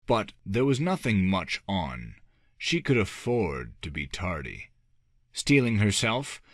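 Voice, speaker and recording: A man reading a fantasy novel as a dramatic audiobook narrator, with measured, deliberate phrasing and dramatic pauses. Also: deep voice, fairly bright tone, dry recording, studio quality.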